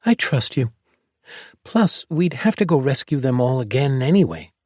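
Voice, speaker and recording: A man's voice: sing-song, normal speed, darkish tone, dry recording, clean studio sound. A male narrator voicing a feisty, stubborn little girl who teases and insists. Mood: teasing. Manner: raised pitch, bratty and insistent.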